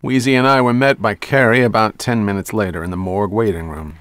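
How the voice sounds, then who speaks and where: A hard-boiled male detective , acted by a man, narrates a radio mystery in a low voice with a very dry, close sound. He is wry and casual, full of slang and wisecracks. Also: steady tone, quick pace, fairly bright tone, dry recording, natural voice.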